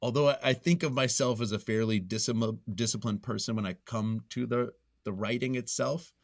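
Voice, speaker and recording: A man giving casual, reflective interview answers, thinking aloud in a halting way and circling back to repeat his phrases. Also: mid-range voice, steady tone, no echo, clean studio sound. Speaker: podcast guest, nonfiction writer.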